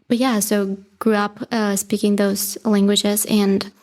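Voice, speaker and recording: A woman's voice: medium pitch, fairly even intonation, takes it slowly, darkish tone. A woman in her twenties from Bolivia, a native Russian speaker, talking reflectively as an interview guest and leaning on "like" and "you know". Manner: reflective, heavy use of "like" and "you know", laughs lightly. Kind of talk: casual conversational interview.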